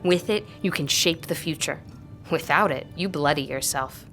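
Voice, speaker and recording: An actress playing an earnest, courteous tutor in an audio drama, speaking in a measured way. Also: female voice, deep voice, a bit bright, faint music behind. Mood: instructive. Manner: measured, didactic, polite.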